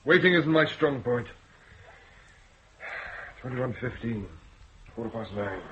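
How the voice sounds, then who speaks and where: An actor playing a grumbling, impatient senior police officer in a radio drama. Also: male voice, mid-range voice, animated, takes it slowly, a bit bright, slight background noise. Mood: gruff. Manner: gruff, grumbling. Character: gruff impatient senior male police chief. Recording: muffled old radio broadcast.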